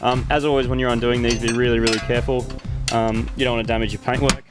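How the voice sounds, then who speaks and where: Aussie guy talking quick and matey about car stuff, music going behind. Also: mid-range voice, flat delivery. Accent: Australian. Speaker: host of a car modification show. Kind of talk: casual explaining to camera.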